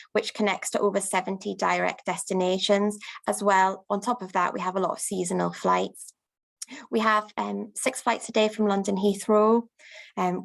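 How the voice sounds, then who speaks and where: Woman with gravelly fry, lots of ums. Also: mid-range voice. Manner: frequent "um" fillers, upbeat. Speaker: destination promoter. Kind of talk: unscripted promotional talk.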